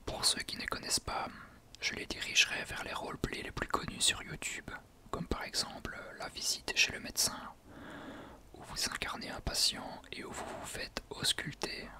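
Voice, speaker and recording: Man whispering softly, ASMR style. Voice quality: breathy, unvoiced whisper. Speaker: ASMR video creator, host. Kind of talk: whispered ASMR talk to listeners.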